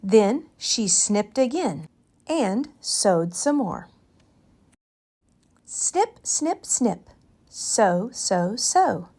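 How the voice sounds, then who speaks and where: A woman hosting a storytime, reading aloud to children in a deliberate, expressive way. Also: mid-range voice. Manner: deliberate, animated.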